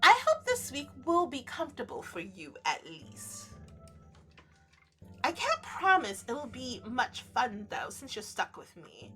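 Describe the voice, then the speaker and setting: Girl reading as a calm awkward winter spirit guy, polite n apologetic, visual novel, music behind. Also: a little high, a little slow, heavily acted. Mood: self-deprecating. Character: serene, awkward, self-deprecating male winter spirit. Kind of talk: visual novel character read aloud.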